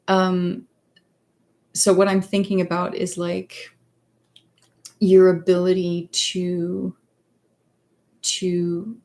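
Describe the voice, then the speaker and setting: A woman whose first language is Danish, chatting casually on a livestream as a psychologist and author. She pauses often and searches for words. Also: fairly low pitch, creaky, a little mellow. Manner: hesitant, many fillers and restarts, searches for words. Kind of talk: casual livestream chat answering viewer questions.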